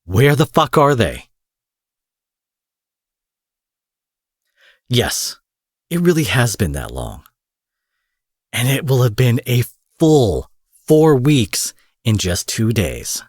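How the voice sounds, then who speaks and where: A man's voice: mid-range voice, big pitch swings, slow, slightly rough, no echo, clean studio sound, full character voice. A man playing an angry, menacing supervillain in an audio drama, profane, sarcastic and theatrical, taunting with mock affection. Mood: angry, impatient. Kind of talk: roleplay audio drama monologue.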